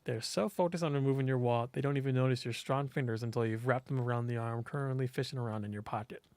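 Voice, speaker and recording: A man reading a visual novel aloud as host, in expressive scripted narration. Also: medium pitch, quick pace, no room sound.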